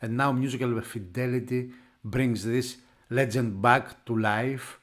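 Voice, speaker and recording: A man who is not a native English speaker, narrating a product unboxing off the cuff in halting phrases. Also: mid-range voice, steady tone, a bit bright. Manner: halting, piecing phrases together. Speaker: product reviewer.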